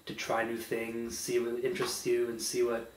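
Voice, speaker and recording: A man's voice: medium pitch, completely flat delivery, normal speed, reverberant, far from the mic, poor audio. A man talking casually and plainly about school and sports. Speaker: interviewee. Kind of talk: casual chat.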